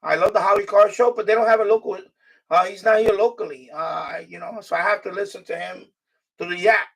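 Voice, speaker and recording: Guy on a livestream, high creaky voice, rambles and repeats himself, mic sounds kinda far. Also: fairly even intonation, fairly quick, a bit bright. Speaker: live stream host, radio hobbyist. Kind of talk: casual live stream chat. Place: New York City.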